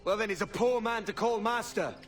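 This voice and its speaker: A defiant pirate in a game, with a forceful, high-pitched voice. He is blunt and sardonic, slightly off mic over faint music. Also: a little fast, distant mic. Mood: urgent, persuasive. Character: bold, defiant male pirate captain. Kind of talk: video game cutscene acting.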